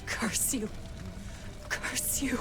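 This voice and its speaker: A woman playing a haughty, vengeful young exorcist commander in a video game, commanding and indignant. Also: drawn-out words. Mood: bitter. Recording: loud music and clashing sounds behind. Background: loud music, smashing.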